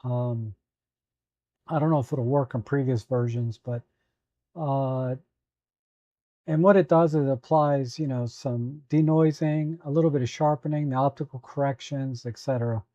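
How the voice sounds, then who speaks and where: Hoarse man with a dark, dull voice. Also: medium pitch, no room sound. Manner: relaxed, halting, many fillers. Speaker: photo editing livestream host. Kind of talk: live tutorial chatting with viewers.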